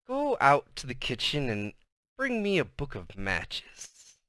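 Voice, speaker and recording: A man voicing a furious, strict mother giving slow, stern commands in a menacing hiss in a storybook reading. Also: mid-range voice, huge pitch swings, bright, forceful tone, no echo, heavily acted. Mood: cold menace. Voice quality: hissing.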